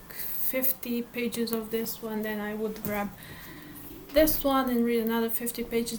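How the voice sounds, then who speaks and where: A woman's voice: on the high side, a little mellow, a little hiss or hum, low-quality recording. A woman whose first language is Portuguese, chatting casually to camera about her reading plans, hesitant, with many fillers and restarts. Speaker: book vlogger.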